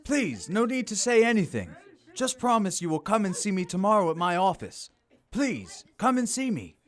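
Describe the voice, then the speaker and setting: A man playing a reformed old miser in an audio drama, giddy, warm and exclamatory, often repeating himself. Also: high-pitched, huge pitch swings, moderate pace. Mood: joyful. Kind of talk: theatrical audio drama acting. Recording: music playing behind the voice.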